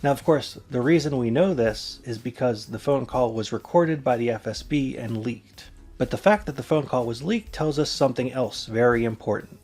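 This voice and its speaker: A man's voice: medium pitch, normal speed, few pauses, a little mellow, soft background music. Man narrating a scripted explainer. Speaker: presenter.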